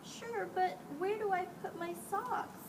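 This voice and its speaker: A woman's voice: very high-pitched, unhurried, echoey room, pitched above the performer's own voice. A woman reading the part of a young girl in a storybook, dramatic, emphatic and indignant. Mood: doubtful. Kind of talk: storybook character voice. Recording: distant mic, clearly noisy background.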